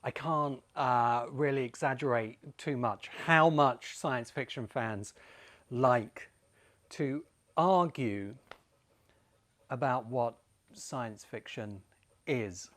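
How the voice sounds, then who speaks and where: A British man in his forties presenting an informal explanatory talk as host, speaking emphatically and deliberately with pauses for effect. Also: medium pitch, fairly bright tone. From Great Britain. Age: 40s. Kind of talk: informal explanatory video essay.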